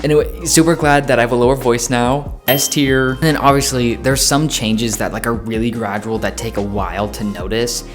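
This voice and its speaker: A man's voice: mid-range voice, steady tone, normal speed, few pauses. Chatty young man vlogging, faint music. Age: 20s. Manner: chatty, lots of fillers and self-corrections; playful, jokey. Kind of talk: casual talk to camera.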